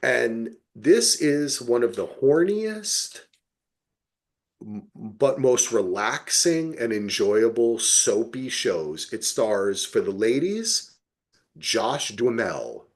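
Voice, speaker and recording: A man's voice: medium pitch, big pitch swings, takes it slowly. A middle-aged man co-hosting a podcast, reviewing television casually with emphatic, playfully exaggerated storytelling. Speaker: podcast co-host.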